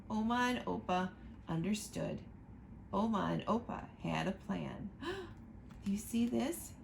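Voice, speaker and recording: Slow, gravelly-voiced woman reading a picture book. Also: fairly high pitch, a little hiss or hum, rough recording. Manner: slow, expressive storytime reading, asking listeners to look at pictures. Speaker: librarian reading aloud. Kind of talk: picture book read-aloud for children.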